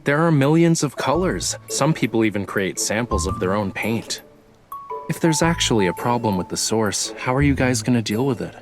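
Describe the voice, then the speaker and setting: A man's voice: medium pitch, lively intonation, moderate pace, music in the background, close to an everyday voice. An actor playing a teasing, smug young male painter in a video game, with a languid, coy manner. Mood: teasing, sly.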